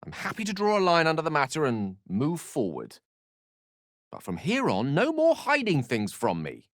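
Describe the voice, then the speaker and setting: A man's voice: fairly high pitch, wildly swooping pitch, moderate pace, dry recording, studio quality. A man voicing a courteous, self-assured wizard companion in a video game, articulate and wryly theatrical. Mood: earnest. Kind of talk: video game character voice lines.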